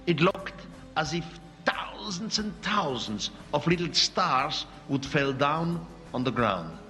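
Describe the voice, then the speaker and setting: A man's voice: a little high, a bit bright. Swiss-accented man lecturing in a hall. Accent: Swiss German. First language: Swiss German. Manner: accented, searching English with slips. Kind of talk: public lecture. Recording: hall recording with faint music.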